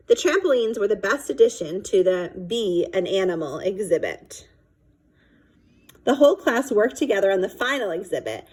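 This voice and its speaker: A woman reading a children's chapter book aloud expressively, pausing to explain phrases to young listeners. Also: mid-range voice, a little mellow, breathy edge, poor audio. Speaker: read-aloud storyteller.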